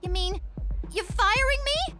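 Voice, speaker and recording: A woman voicing a spoiled, whiny teenage daughter in an animated comedy, sulky and dramatic. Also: very high voice, a little slow, over loud music. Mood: outraged. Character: spoiled whiny teenage girl, stripper hopeful.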